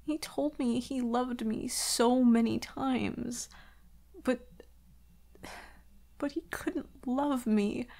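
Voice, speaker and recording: A woman playing an anxious, vulnerable werewolf girlfriend in an intimate ASMR roleplay, soft and hesitant, stammering and often slipping into breathy whispers. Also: on the high side. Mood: sad, self-doubting.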